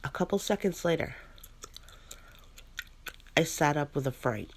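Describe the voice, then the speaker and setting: A woman chewing gum as she narrates a first-person ghost story in an ASMR style, pausing between short phrases. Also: low voice, lively intonation, a little hiss or hum. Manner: chews gum while narrating.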